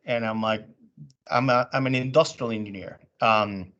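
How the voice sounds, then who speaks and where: A man from Venezuela, an engineer in business development, talking casually on a panel and often pausing with ums. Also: medium pitch, fairly even intonation, a bit bright, lo-fi sound. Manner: hesitant, many ums and restarts.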